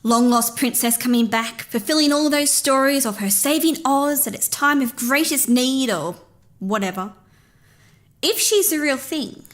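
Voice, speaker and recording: An actress playing a brash, sceptical political aide in a fantasy audio drama, sarcastic and blunt. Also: female voice, high voice, a little slow.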